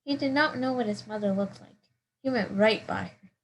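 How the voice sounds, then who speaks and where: A woman with a medium-pitched voice reads a picture book aloud for storytime. She narrates as a volunteer, in simple, clear phrases. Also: moderate pace, poor audio.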